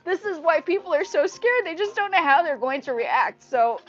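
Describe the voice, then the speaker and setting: A woman from Indonesia, a native Indonesian speaker, hosting a casual livestream in a rambling, animated way, often repeating her phrases. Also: very high-pitched, normal speed. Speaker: livestream host. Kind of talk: casual livestream chat with viewers. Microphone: earpiece mic on a phone. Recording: dull lo-fi livestream audio through earpiece mic.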